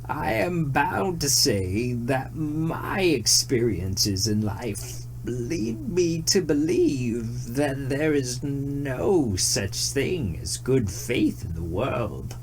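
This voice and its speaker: A performer acts an old storybook tree that speaks extremely slowly and solemnly. Its words are drawn out and breathy, with some noise behind them. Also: medium pitch, sing-song, pitched above the performer's own voice, exaggerated voice. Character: slow, solemn, kindly talking old tree.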